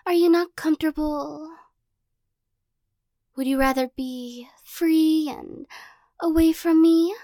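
A young woman voicing a possessive, teasing girl who corners the listener in an audio roleplay, giggling and coaxing, often dropping into soft whispers. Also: high-pitched, slow pace, pauses often, no echo, clean studio sound.

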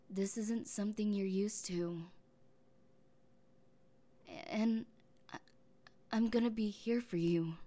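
A young woman playing an obsessive, possessive young woman who has taken the one she loves, speaking softly and haltingly and often dropping into whispers. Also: mid-range voice, close to an everyday voice. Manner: soft-spoken, halting, drifting into whispers. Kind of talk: audio roleplay.

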